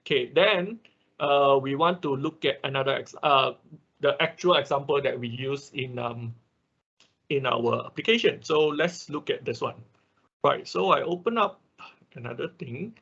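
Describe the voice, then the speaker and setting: Husky, bright-voiced male online instructor. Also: medium pitch, dull audio. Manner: halting, step-by-step explaining with frequent check-in tags. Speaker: instructor of an online robotics class. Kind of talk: informal software tutorial with live demonstration.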